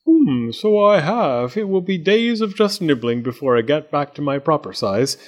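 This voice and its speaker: A man's voice: on the high side, very animated, voice pushed up. A man voicing a polite, greedy giant moth. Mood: unbothered. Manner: slow, drawn-out and courteous.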